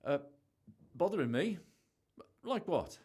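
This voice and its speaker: A man's voice: on the high side, very animated, slow pace, mellow, slightly rough, full character voice. A man in an amateur radio play acting a defensive, sarcastic husband who is hiding something. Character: evasive, irritable husband hiding a secret.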